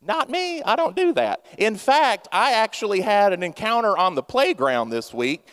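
High-voiced playful pastor in a reverberant hall. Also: male voice, sing-song, moderate pace, fairly bright tone, smooth. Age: 30s. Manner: playful, self-mocking, talks straight to the congregation. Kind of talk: humorous sermon. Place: church sanctuary. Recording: long church hall reverb.

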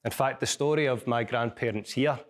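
A man with a strong Glasgow accent gives a university seminar, telling stories and reading a passage from his book. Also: medium pitch. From Scotland. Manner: storytelling, measured, with small restarts. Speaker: lecturer and author.

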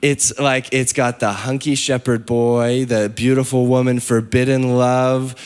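A hoarse man preaching at a middle pitch, his voice worn thin from talking all day. He speaks candidly and pokes fun at himself, stumbling through fillers and restarts as he builds his sermon. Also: flat delivery, a little slow, darkish tone. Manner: candid, self-deprecating, many fillers and restarts. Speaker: pastor.

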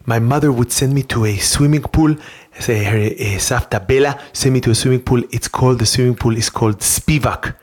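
An earnest, childlike talk show host with an Israeli accent, as a comic performer plays it. The English is broken and halting, full of repetition and naive boasting. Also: mid-range voice, a little mellow, lightly acted. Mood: proud, wistful. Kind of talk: comedic children's talk show hosting and storytelling.

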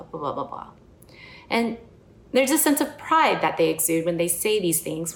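A woman from the United States preaching a sermon in an earnest, personal and reflective way. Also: fairly low pitch. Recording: echoey room.

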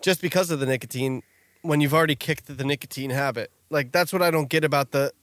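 A man in his thirties co-hosting a podcast in casual chat, animated and quick to laugh. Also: a little high, no room sound. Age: 30s. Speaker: podcast co-host.

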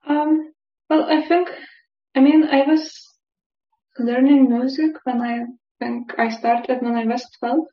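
A woman's voice: sits high, steady tone, slow pace. Echoey young woman, Lithuanian accent. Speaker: interview guest, musician and scientist. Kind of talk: casual interview answers. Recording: dull, echoey room sound.

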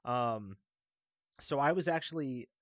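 A man's voice: medium pitch, takes it slowly, a bit bright, dry recording. A man speaking casually as a guest on a podcast. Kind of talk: casual chat.

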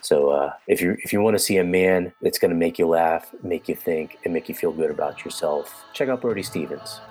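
A man's voice: sits low, fairly quick, a little mellow, soft background music. A man speaking on a podcast, conversationally offering a recommendation.

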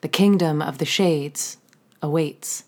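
Female host-narrator, slightly low voice, theatrical scripted storytelling for an audio drama. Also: slow, darkish tone.

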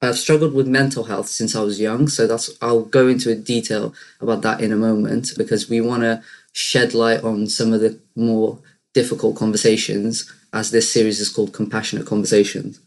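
A young man raised in the Netherlands, a native Dutch and Arabic speaker, co-hosting a podcast in a relaxed, easygoing way. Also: fairly low pitch, fairly quick. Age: young adult. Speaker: podcast co-host. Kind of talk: casual podcast chat.

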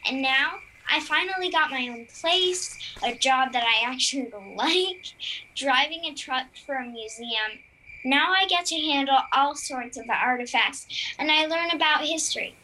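A young girl acts out an excitable, earnest werewolf in a children's audio drama. Her voice is high and bright, with exclamatory, chatty delivery. A faint noise sits behind it. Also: low-quality recording. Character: excitable, anxious young werewolf truck driver, earnest and sensible.

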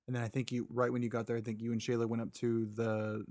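A man co-hosting a podcast in casual chat, laid-back, quick to laugh, swearing now and then. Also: medium pitch, completely flat delivery, a little mellow, no room sound, clean studio sound. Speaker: podcast co-host.

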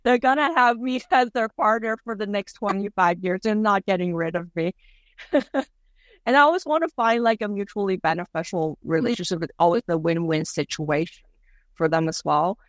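A woman's voice: fairly low pitch, no room sound. A woman whose first language is Cantonese, giving casual, chatty interview answers with frequent "like" and "you know". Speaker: interviewee, mortgage broker.

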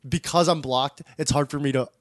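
A man co-hosting a podcast, chatting casually and effusively about an animated show in slangy, informal language. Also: fairly high pitch, brisk, no room sound, clean studio sound. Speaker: podcast co-host.

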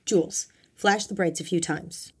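A woman voicing a hot-tempered, bossy, foul-mouthed man who shouts in exasperation in an audiobook. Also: fairly low pitch, fairly even intonation, normal speed, breathy edge. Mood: gruff, commanding. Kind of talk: audiobook character dialogue.

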